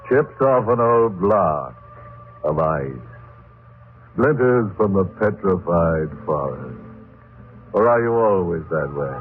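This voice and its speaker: An actor playing a ghoulish horror host, teasing and sardonic in theatrical old-time radio narration. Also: male voice, sits low, full character voice. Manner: teasing, sardonic, drawn-out delivery. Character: mocking, ghoulish male horror show host. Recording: tinny band-limited old radio broadcast.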